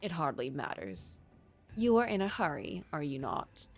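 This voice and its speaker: A sly fairy godmother from a storybook, acted out by a woman. She speaks in formal, measured phrases, cryptic and quietly menacing. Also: low voice, very animated, faint music behind, voice pushed down, full character voice. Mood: coolly courteous.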